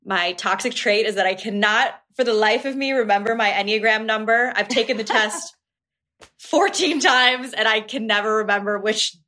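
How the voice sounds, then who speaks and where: A woman's voice: on the high side, moderate pace, hardly any pauses, sharp tone. A young adult woman guest chatting casually, self-deprecating and laughing. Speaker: managing editor, podcast guest. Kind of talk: casual chat.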